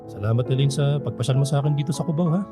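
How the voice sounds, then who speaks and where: An actor playing a kindly, bashful middle-aged Filipino bachelor in a radio drama, talking gently and considerately. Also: male voice, mid-range voice, rapid-fire, over music, lightly acted. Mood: apologetic, gentle.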